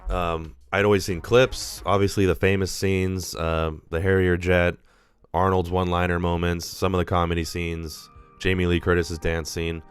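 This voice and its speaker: A man's voice: low-pitched, little pitch movement, moderate pace, smooth, soft background music. A man hosting a live movie-review stream, talking casually and rambling, a little nasal from being sick. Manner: rambling, casual, swears freely.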